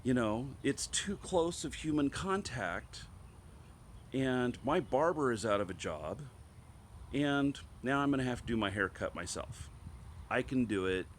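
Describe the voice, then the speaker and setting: A man with a congested voice from a head cold, hosting an online show with a casual opinion monologue, halting and emphatic as he thinks aloud. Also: mid-range voice, lively intonation, a little hiss or hum. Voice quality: slightly stuffed-up, congested. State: has a head cold, clearing throat.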